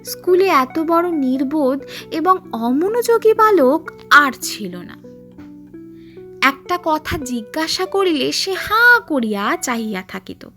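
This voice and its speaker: High-voiced woman narrating, slow and expressive. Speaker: storyteller. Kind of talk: dramatic audiobook narration of a literary story.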